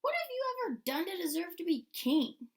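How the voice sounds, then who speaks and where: A woman's voice: extremely high pitch, sing-song, brisk, pitched above the performer's own voice. A woman voicing a scornful, accusing vulture in a storybook.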